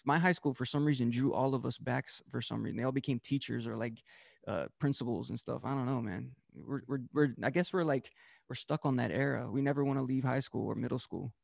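Male DJ guest, rambling interview talk, gravelly, dry recording. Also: mid-range voice, a little fast, no echo. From Delaware, US. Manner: loose, rambling, many fillers and restarts. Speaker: DJ and podcast host, guest.

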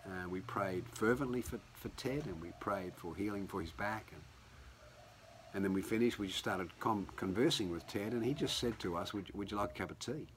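A man, slightly hoarse, giving a conversational sermon recorded at home, often restarting his sentences. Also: fairly low pitch, normal speed, a little hiss or hum, poor audio. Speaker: pastor.

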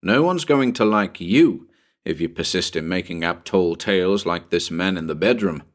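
A man's voice: low-pitched, expressive, moderate pace. A man voicing a firm, impatient, sarcastic man minding a child in a storybook. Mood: stern.

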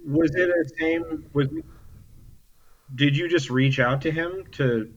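A man's voice: on the high side, measured pace. A man, a musician, answering as a guest in a casual remote interview, hesitant and laid-back. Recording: slightly dull remote call audio.

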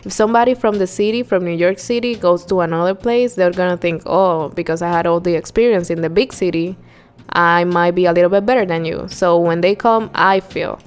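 A woman's voice: on the low side, clear, resonant tone. A woman hosting a casual interview, thoughtful and talking things through, often nodding along with short fillers. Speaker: host.

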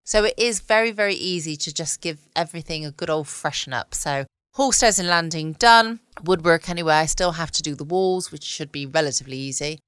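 A woman's voice: low-pitched, fairly quick, a bit bright, smooth, no room sound, studio quality. A British woman chatting casually as the host of a late night bedtime podcast. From United Kingdom.

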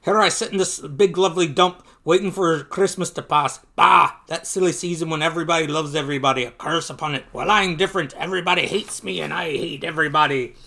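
A man's voice: a little high, fast, creaky, few pauses, pushed and bright, pitched above the performer's own voice. A man voicing a grumpy, spiteful old rich uncle duck from a comic book, with an attempted Scottish accent and theatrical cackling grumbles. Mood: bitter, grumbling. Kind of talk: comic book character read aloud.

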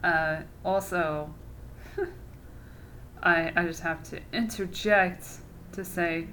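A woman's voice: lowish voice, steady tone, extremely slow, sharp tone, slight background noise. A woman hosting her own podcast in casual chat, sarcastic and mocking, swearing freely as she talks. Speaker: podcast host.

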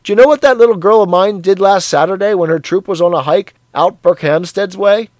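A man doing a loud, cheery, blustering neighbor. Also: sits high, brisk, no room sound, pitched above the performer's own voice, natural voice. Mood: boastful. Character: hearty, sweaty, zealous middle-aged man.

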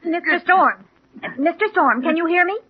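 An actress in a 1940s radio drama playing a brisk, dutiful hospital nurse with a crisp, formal, attentive manner. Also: female voice, extremely high pitch. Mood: attentive, composed. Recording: dull, noisy 1940s radio recording.

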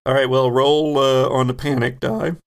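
Male game master, creaky mid-pitched voice, descriptive improvised horror narration at the table. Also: flat delivery, moderate pace.

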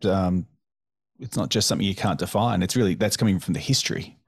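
A man hosting a podcast interview, thoughtful and affirming, with many soft "mm"s. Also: deep voice, fairly quick, creaky, slightly rough, dry recording, studio quality. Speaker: podcast host. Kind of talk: conversational interview.

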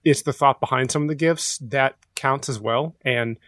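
A man's voice: mid-range voice, normal speed, fairly bright tone, dry recording, studio quality. A man on a podcast panel talking reflectively and casually, with an earnest, affirming, thoughtful way of speaking.

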